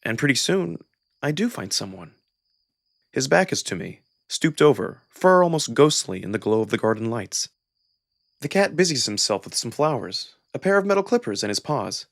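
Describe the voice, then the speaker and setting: A man giving an expressive, dramatic first-person narration of a visual novel. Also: medium pitch, moderate pace, vocal fry, no echo, studio quality. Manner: expressive, acted-out delivery. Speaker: narrator and voice performer.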